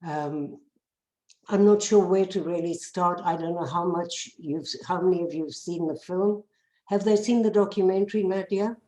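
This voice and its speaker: An older South African woman speaks with a low, mellow voice, earnest and unhurried, often pausing on small fillers. She tells her own story to a listening group. The sound carries an echoey room around her. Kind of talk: personal testimony talk.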